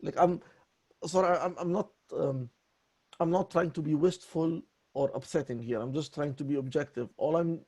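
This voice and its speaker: A raspy man speaks in a halting, apologetic way, doubling back on his words again and again. His voice is dark and gravelly, sitting a little higher than most men's, with a husky edge throughout. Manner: apologetic and halting, many restarts. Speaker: voice student. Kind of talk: voice lesson conversation.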